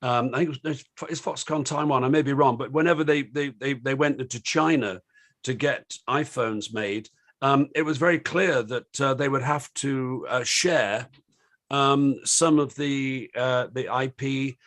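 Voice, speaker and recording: Croaky British man hosting a panel. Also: mid-range voice, a little slow, a bit bright. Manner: full of ums and uhs and restarts. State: slightly croaky voice. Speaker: host of an online panel discussion. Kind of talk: hesitant, rambling opening remarks to a panel.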